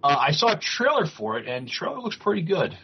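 A man co-hosting a podcast, reading news and chatting, with halting delivery when reading aloud. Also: on the high side, very animated, breathy edge. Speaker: podcast co-host. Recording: muffled, dull lo-fi audio.

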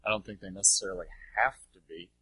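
A lowish, husky male voice with a very bright, pressing edge. He teaches informally in answer to questions, emphatic and colloquial, in a dry recording. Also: quick pace, no room sound, poor audio. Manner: colloquial, emphatic, checks for understanding.

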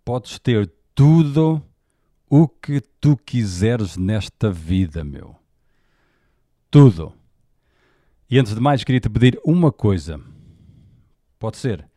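A man's voice: fairly low pitch, sing-song, slow, long pauses, warm tone, dry recording, studio quality. A Portuguese man in his forties with a European Portuguese accent, hosting a candid, colloquial monologue podcast with frequent fillers. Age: 40s. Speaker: podcast host.

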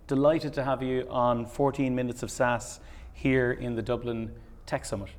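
A man hosting a podcast interview at a tech conference, reading a scripted intro and then asking halting questions. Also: medium pitch, little pitch movement. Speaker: podcast host.

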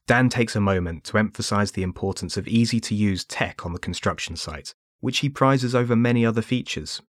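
A man reading scripted documentary narration in a measured way. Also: lowish voice, no room sound, clean studio sound.

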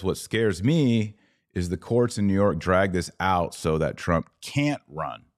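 A man's voice: deep voice, a little mellow, no room sound, studio quality. A man hosting a podcast livestream, conversationally reading out viewer comments and responding to them. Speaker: podcast host. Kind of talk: casual live-stream commentary, reading viewer comments aloud.

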